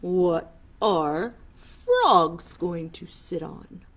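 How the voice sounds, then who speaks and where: A woman's voice: lowish voice, sing-song, drawn-out words, heavily acted. A woman voicing a curious, questioning dog in a picture book read aloud to children.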